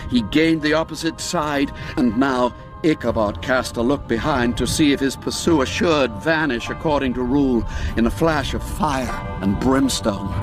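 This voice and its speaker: A man narrating an audiobook as dramatic storytelling, with measured, deliberate, suspenseful phrasing. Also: medium pitch. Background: music under the voice.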